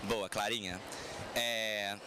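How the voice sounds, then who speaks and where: A man's voice: lowish voice, fairly even intonation, takes it slowly. A man speaking as head of people on a conference panel, in a chatty, playful way full of slang and tag questions. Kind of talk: casual conference panel talk. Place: conference stand. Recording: noisy venue ambience.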